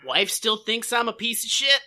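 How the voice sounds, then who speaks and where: A man's voice: very high voice, animated, normal speed, pushed and bright. A man co-hosting a podcast with casual comedic commentary, riffing sarcastically and swearing freely. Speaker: podcast co-host.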